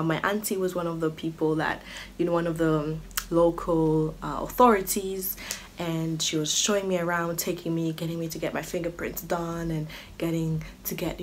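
A woman in her twenties from Kenya, telling stories casually to camera in an animated manner, recorded at home. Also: low-pitched. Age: 20s. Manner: animated, acts out other people's remarks. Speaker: vlogger. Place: small furnished living room or bedroom, indoors. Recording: clearly noisy home room recording.

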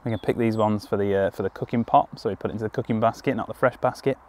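A man's voice: fairly low pitch, fairly even intonation, very fast, a little mellow. A young man, crouched in woodland, explaining step by step as a foraging guide with easygoing ums and restarts. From United Kingdom. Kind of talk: outdoor teaching walkthrough. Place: woodland clearing outdoors. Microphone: camera on a tripod at a few metres, crouching in frame.

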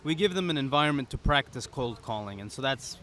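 A man's voice: medium pitch, lively intonation, brisk, a bit bright. Young man explaining casually, faint outdoor noise. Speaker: interview guest, startup founder. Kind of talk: conversational interview, explanatory. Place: outdoor pop-up podcast booth at a conference. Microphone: boom-arm podcast microphone. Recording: outdoor event ambience behind voice. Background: outdoor conference ambience.

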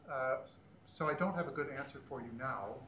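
A man with a mid-pitched voice talks unscripted on a conference panel. He feels his way through his points haltingly, and the room rings around him with a faint layer of noise. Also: a bit bright, echoey room. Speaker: panelist, standards group member. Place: conference room panel before seated audience.

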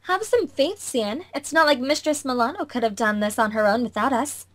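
A woman's voice: high voice, expressive, normal speed. Woman voicing a bratty young anime mage girl. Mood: confident, slightly worried. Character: irritable, cocky young girl mage with a staff. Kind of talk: amateur anime visual novel dub.

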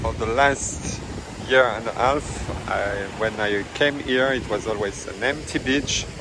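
A man strolls along a beach with his phone and chats about what he sees, his phrasing loose and slightly non-native, dotted with fillers. His voice sits in a middle pitch. The surf and wind wash clearly behind him. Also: measured pace. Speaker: travel vlogger. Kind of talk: casual walking-tour commentary. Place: outdoors on a tropical beach. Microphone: handheld phone camera. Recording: outdoor phone audio with surf noise. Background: waves and wind.

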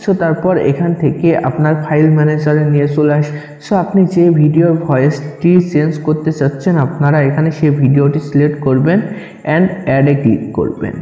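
A man's voice: on the high side, fairly even intonation, fairly quick, warm tone. A man with a Bangladeshi accent giving an instructional, step-by-step app tutorial voice-over, repeating his directions as he goes. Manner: instructional, repetitive. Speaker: tutorial presenter. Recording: echoey, reverberant room.

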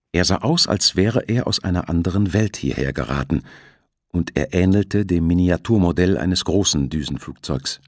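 A man reads a suspense novel in a low-pitched voice. His delivery is measured, scripted storytelling with dramatic weight. The recording is dry, with hardly any room sound. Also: husky, no echo. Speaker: audiobook narrator.

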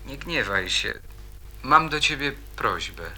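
A man's voice: mid-range voice, animated, slow, sharp tone, slight background noise, heavily acted. An actor playing an earnest, troubled schoolboy in a radio drama, insistent and hesitant as he repeats himself. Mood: pleading, evasive. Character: Janusz, stubborn, earnest, troubled schoolboy in his teens.

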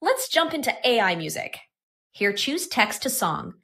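A woman presenting software in a scripted tutorial narration. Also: a little high, big pitch swings, measured pace.

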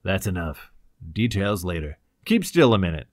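A man voicing a brisk, fearless investigator who snaps out clipped orders in a pulp science fiction audiobook. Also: deep voice, very animated, no room sound, clean studio sound. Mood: curt, commanding. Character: crisp, commanding male investigator, brisk and fearless.

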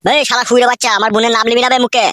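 Vengeful young cartoon villager, acted by a man: aggrieved, foul-mouthed, very fast, close dry sound, rural West Bengali accent. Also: very high voice, flat delivery, bright, forceful tone, dry recording. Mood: furious. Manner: cursing, aggrieved. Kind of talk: cartoon dialogue.

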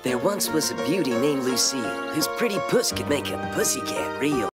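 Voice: medium pitch, sing-song, moderate pace, lightly acted. An actor voicing a crooning cartoon suitor reciting love verse. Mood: romantic. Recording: muffled distant cartoon clip over music.

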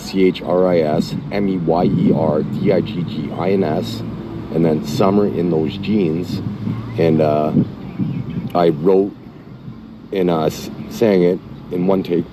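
Middle-aged man, extremely low voice, halting delivery with constant fillers, noisy phone recording. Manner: halting, many fillers and restarts. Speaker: channel owner. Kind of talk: rambling commentary, half-chanted lyric reading. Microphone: phone mic.

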